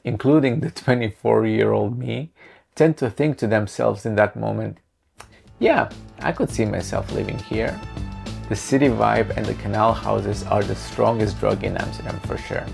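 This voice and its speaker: A man in his thirties from Croatia hosts a creative vlog with scripted, self-mocking narration. His voice is low and very gravelly. Soft music plays beneath him. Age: 30s. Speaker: creative channel host.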